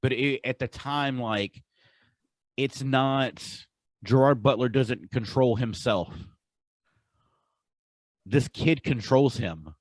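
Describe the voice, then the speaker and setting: A man's voice: medium pitch, slow pace, long pauses, no room sound. A man co-hosting a podcast in casual chat, talking with enthusiasm and emphasis. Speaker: podcast co-host.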